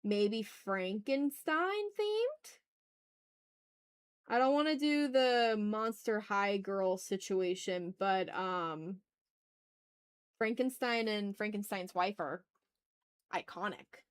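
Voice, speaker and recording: A woman's voice: mid-range voice, lively intonation, slow pace, pauses often, smooth. A woman hosting a live art stream, chatting casually and talking herself through her work with repeated short phrases and mild exclamations. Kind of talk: casual livestream chat, muttering to self while drawing.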